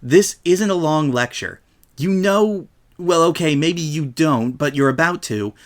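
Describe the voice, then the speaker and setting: An earnest young female unicorn from a storybook cartoon, acted out by a man. The voice sits fairly high and has a patient, lecturing manner, like a studious girl spelling things out. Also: animated, unhurried. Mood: earnest, explanatory.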